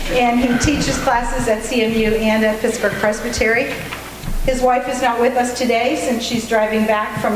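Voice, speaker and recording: A woman making friendly, chatty announcements at a church service. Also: on the high side. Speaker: church member giving announcements. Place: church sanctuary. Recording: echoey sanctuary with faint room noise.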